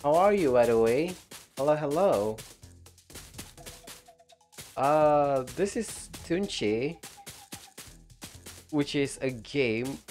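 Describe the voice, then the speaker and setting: Male streamer, phlegmy from flu, slow easygoing gameplay chatter. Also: mid-range voice, a little mellow. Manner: rambling, chats with viewers. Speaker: game streamer. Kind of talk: casual live gameplay commentary.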